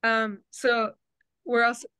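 A woman's voice: fairly high pitch, little pitch movement, takes it slowly, sharp tone, clear, resonant tone, no echo. A woman organizing a group, chatting cheerfully on a video call and laughing easily. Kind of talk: casual chat on video call.

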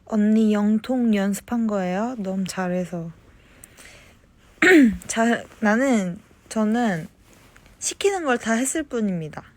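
A young woman chatting casually on a phone livestream, reading fan comments and answering them softly, at times slipping into whispers. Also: mid-range voice, expressive. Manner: slow, soft-spoken, drifts into whispers. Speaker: idol livestreaming to fans.

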